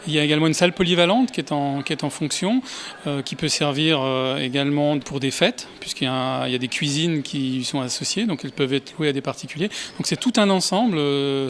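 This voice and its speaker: A man's voice: fairly high pitch, a bit bright, slight background noise. A man giving spontaneous interview answers as a local official, hesitantly searching for his words.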